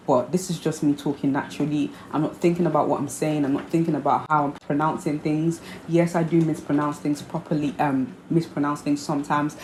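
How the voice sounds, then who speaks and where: A woman's voice: deep voice, darkish tone, slight background noise. A young woman vlogger from Nigeria with a hybrid Nigerian accent, chatting earnestly to camera and explaining her points by repeating them. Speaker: vlogger host. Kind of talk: casual chat to camera. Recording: echoey room with faint ambience.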